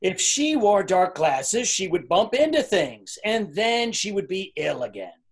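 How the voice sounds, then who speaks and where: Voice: mid-range voice, big pitch swings, a little slow, husky, full character voice. A performer playing a worried, irritable storybook king in a readers theater, commanding and emphatic. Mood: angry.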